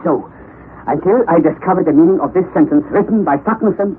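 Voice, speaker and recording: Actor as excitable old professor, tinny vintage radio. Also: male voice, a little high, brisk, lightly acted. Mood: excited, proclaiming. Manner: theatrical, declaiming, muttering to himself. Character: eccentric, obsessive older professor uncle, excitable and domineering. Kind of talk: old-time radio drama acting. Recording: tinny, narrow, noisy vintage radio recording.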